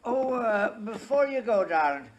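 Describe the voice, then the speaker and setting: An actor playing a blarneying Irish rogue in a 1950s radio Western, theatrical, wheedling and self-pitying, on a noisy old recording. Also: male voice, high-pitched, sing-song, measured pace. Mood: feeble, wheedling. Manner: theatrical, cajoling.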